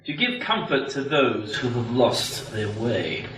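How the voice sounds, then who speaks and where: A zealous cult acolyte played by a man in a sci-fi radio play. His forceful, bright voice swings widely, pious and formal and quick to take offence. It is recorded in a very roomy space. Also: medium pitch, very animated, recorded from a distance.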